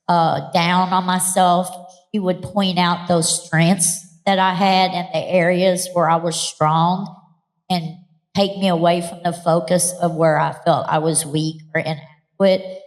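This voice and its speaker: A woman's voice: fairly low pitch, fairly even intonation, a little slow. A woman giving an earnest, plainspoken personal testimony at an event, with a few fillers. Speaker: adult learner and college student. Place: event hall. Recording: long hall reverb.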